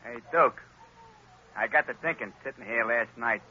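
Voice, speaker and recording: A man's voice: mid-range voice, moderate pace, breathy edge, close to an everyday voice. An actor playing a dim, drawling male thug in a 1940s radio drama. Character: slow-witted male thug Slim. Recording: dull lo-fi old radio recording.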